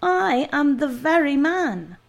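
A hulking, jolly giant of a man, bursting with gratitude, whose excited voice leaps high and loud, as a woman reading a storybook puts him on. He stretches his words out slowly with a gravelly edge. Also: heavily acted. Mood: elated. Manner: loud and exuberant. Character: huge jovial grateful male giant, boisterous.

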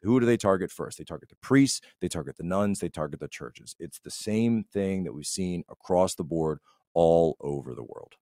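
Man, deep voice, dry close sound, emphatic commentator, conversational, repeats phrases for effect. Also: expressive, moderate pace, dark tone, no echo. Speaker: podcast guest and political commentator.

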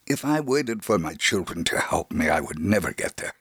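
A man plays a very old, devout man in a radio story, resigned and without hope. The voice is lowish and plaintive, recorded dry and close. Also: very animated, moderate pace, a bit bright, slightly rough, dry recording, exaggerated voice. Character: weary, hopeless ninety-four-year-old religious man.

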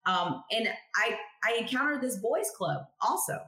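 A woman's voice: a little high, normal speed. A woman in her thirties, a chemist guest telling stories in a casual interview, acting out the people she quotes. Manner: expressive storyteller who acts out quoted people. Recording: roomy echo.

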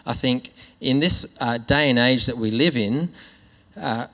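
A man preaching to his congregation in a relaxed, conversational sermon, often restarting his phrases. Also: mid-range voice, measured pace. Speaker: pastor. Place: church hall. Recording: long hall reverb.

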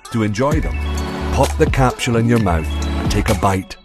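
A man giving a polished promotional voice-over for a product, in a smooth, even sales delivery. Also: on the low side, moderate pace. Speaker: advertisement narrator. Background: loud music.

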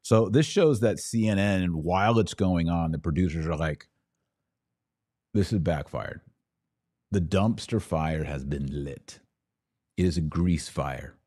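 Deep-voiced man giving podcast commentary. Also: measured pace, long pauses, a little mellow. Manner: explanatory, emphatic. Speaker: podcast host. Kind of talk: informal political commentary reacting to clips.

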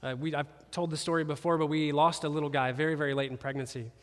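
A pastor with a somewhat high voice preaches an animated, joking sermon and speaks straight to his listeners in a conversational way. His voice carries a long reverb, as if it fills a large church auditorium. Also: quick pace. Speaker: campus pastor.

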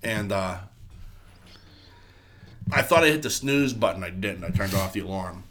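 Chatty congested dude, kinda low voice, lots of "like", cusses a bunch. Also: male voice, lively intonation, moderate pace, fairly bright tone, slight background noise. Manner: rambling, swears freely, fills with "like". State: congested from a cold. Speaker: podcast co-host. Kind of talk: casual chat.

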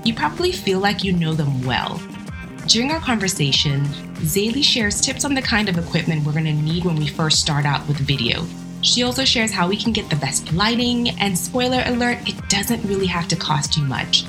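A woman's voice: medium pitch, big pitch swings, normal speed, music in the background. A woman hosting a podcast, presenting and interviewing in a conversational style.

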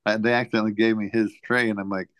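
A man's voice: lowish voice, level tone, rapid-fire, fairly bright tone, no room sound, studio quality. A man co-hosting a podcast, telling anecdotes in casual, offhand chat. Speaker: podcast co-host.

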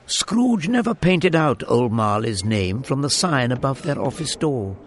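An actor as the narrator of a radio drama, a man telling the story in a deliberate, wry way. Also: mid-range voice, sing-song, slight background noise. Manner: deliberate, theatrical.

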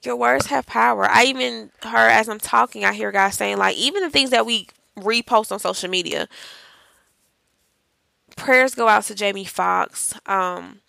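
Woman hosting a faith podcast, talks to her listeners like friends, bit of gravel in her voice, dry close sound. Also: fairly high pitch, a little slow, pushed and bright, no room sound, poor audio. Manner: chatty and encouraging, addresses listeners as y'all and child. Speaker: podcast host. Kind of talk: casual faith-based podcast talk.

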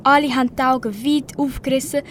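A woman's voice: sits high, fairly even intonation, fairly quick, a little hiss or hum. A teenage girl reading scripted story narration for an amateur radio play.

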